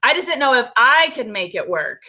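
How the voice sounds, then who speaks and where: Upbeat woman host on a video call, bright, slightly high voice, bubbly. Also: sing-song, fairly quick. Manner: bubbly, upbeat, talks straight to viewers. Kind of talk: live video call interview.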